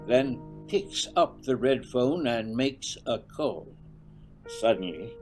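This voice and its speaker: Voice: mid-range voice, darkish tone, rough recording. A narrator reading a scripted radio serial in a melodramatic storytelling style.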